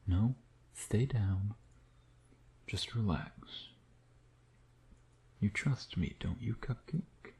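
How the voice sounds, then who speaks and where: A man playing a seductive, taunting vampire in an intimate ASMR roleplay, teasing and menacing, often sinking into breathy whispers. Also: fairly low pitch, extremely slow, long pauses, darkish tone. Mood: hungry, seductive. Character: seductive, taunting male vampire toying with his hunter nemesis.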